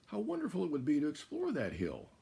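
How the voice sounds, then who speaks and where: An older American man reading a children's picture book aloud, deliberate and expressive, with pauses. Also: a little high, vocal fry, husky. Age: older, about 70s. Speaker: guest storyteller. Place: small room at home, indoors.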